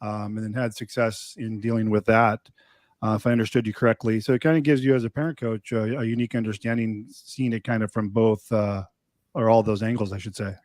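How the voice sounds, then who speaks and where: A man's voice: fairly low pitch, little pitch movement, a little fast, a little mellow, dry recording, studio quality. A man hosting a podcast, asking long rambling questions with fillers in a casual interview. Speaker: podcast host.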